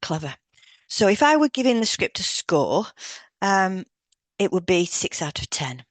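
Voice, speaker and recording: A woman podcast co-host speaking in a measured, soothing way, as if reading from a prepared script. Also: medium pitch, very animated, dry recording.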